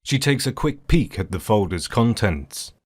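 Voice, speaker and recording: A man's voice: on the low side, lively intonation, a little slow, husky. A man giving scripted narration for an audio drama as its narrator.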